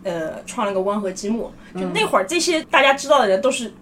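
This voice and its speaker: Female panelist, fairly high voice, quick conversational explaining, slight background noise. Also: expressive, a bit bright. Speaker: podcast panelist. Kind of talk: casual explanatory chat.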